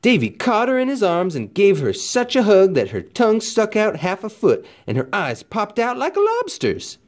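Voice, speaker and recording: A man's voice: high voice, wildly swooping pitch, normal speed. A man narrating a children's storybook expressively, with folksy, dramatic emphasis like a teacher reading aloud.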